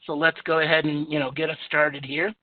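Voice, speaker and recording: Man with a booming voice demoing software. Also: little pitch movement, brisk, dry recording. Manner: booming voice, easygoing with fillers. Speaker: software demonstrator. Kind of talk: software screen-share demo. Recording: tinny phone-like audio, dry.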